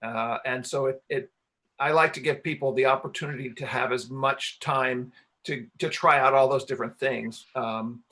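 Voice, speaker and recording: A man's voice: mid-range voice, steady tone, moderate pace, bright, forceful tone. A man answering questions as a radio play writer and director at a live Q&A, explaining things in a hesitant, rambling way. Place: talk before a live audience.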